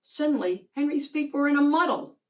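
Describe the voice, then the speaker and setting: A woman reading a children's picture book aloud in an expressive, deliberate storytelling manner, drawing out words. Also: high voice.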